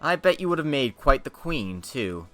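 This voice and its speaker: A man's voice: medium pitch, very animated, a bit bright, faint music behind, pitched above the performer's own voice. Man as a mocking, theatrical masked puppeteer villain. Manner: taunting, drawn-out mock sweetness.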